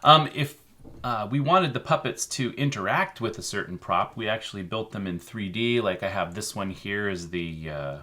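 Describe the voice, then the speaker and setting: A man co-hosting a children's show, explaining a craft casually in an informal, halting way. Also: lowish voice, expressive, sharp tone. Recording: faint background noise.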